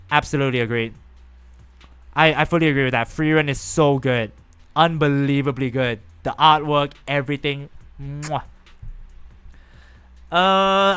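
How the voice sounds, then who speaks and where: A young man live-streaming a game, chatting with viewers in an animated, rambling way. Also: on the high side, clear, resonant tone. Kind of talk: casual live-stream chat while gaming.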